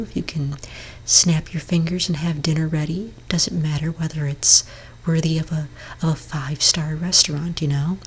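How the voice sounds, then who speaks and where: A woman with a low voice speaks in a soft, breathy whisper, as in an ASMR roleplay. She plays a gentle therapist, reassuring and a little hesitant as she counsels. A faint ambient noise sits behind her voice. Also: poor audio. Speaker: ASMR performer role-playing a therapist.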